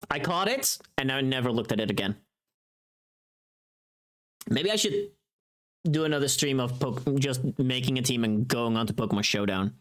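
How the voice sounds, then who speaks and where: Animated livestreamer chatting casually, pitch swinging widely. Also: normal speed, pauses often. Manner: animated, informal, sweary. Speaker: livestream host. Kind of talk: casual livestream chat with viewers.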